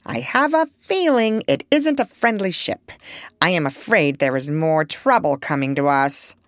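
A woman's voice: deep voice, very animated, measured pace, dry recording, studio quality. A woman voicing a watchful, worried female duck in a storybook reading. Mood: wary.

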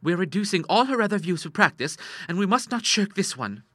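A man's voice: high-pitched, big pitch swings, fast, a bit bright, dry recording, pitched above the performer's own voice, exaggerated voice. A man voicing a mischievous, bold young lady in an audiobook, with a gushing, teasing, girlish manner. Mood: scheming. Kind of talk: audiobook character acting.

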